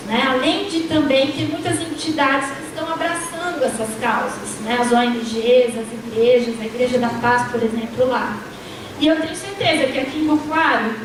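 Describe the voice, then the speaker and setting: A woman lecturer giving an academic panel talk, tagging her sentences with a questioning "né" and restarting words mid-way. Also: sits high. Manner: frequent tag "né", restarts mid-word. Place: university lecture room. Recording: distant, echoey lecture hall with noise.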